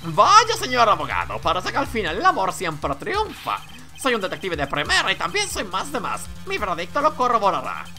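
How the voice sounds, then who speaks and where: A man's voice: high voice, wildly swooping pitch, normal speed, few pauses, sharp tone, faint music behind, voice pushed up, heavily acted. A man voicing a theatrical, self-important male detective who gloats and boasts in a courtroom video game. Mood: gloating. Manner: flamboyant, boastful.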